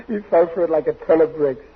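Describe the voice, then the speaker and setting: A man's voice: fairly high pitch, moderate pace, husky. An actor playing a blundering, cocksure working-class father in 1940s radio comedy, stammering and overconfident. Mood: smug. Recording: muffled, hissy 1940s radio recording.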